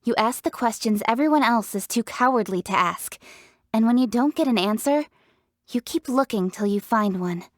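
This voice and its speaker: Fantasy game role: proud, hardworking young female leader played by an actress, highish voice, articulate and self-assured, dry close studio sound. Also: expressive, moderate pace, dry recording, natural voice. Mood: reflective, earnest. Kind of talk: scripted video game character voice lines.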